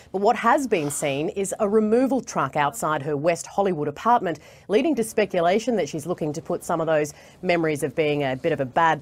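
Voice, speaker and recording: Australian woman, thirties, TV correspondent, lowish pitch, live report and scripted read. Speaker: TV news foreign correspondent. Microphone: lapel mic.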